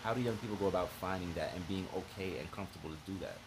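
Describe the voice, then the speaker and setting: A man's voice: low voice, racing. A young man hosting a podcast interview, affirming his guest with frequent "right" and "mm-hmm" and asking long, winding questions. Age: young adult. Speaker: podcast host. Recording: background noise.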